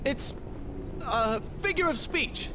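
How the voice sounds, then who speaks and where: An actor playing a sardonic, impatient young prince bent on revenge, wry and dramatic, in a video game cutscene. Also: male voice, very high voice, animated, takes it slowly, noisy background, exaggerated voice. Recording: music behind the voice.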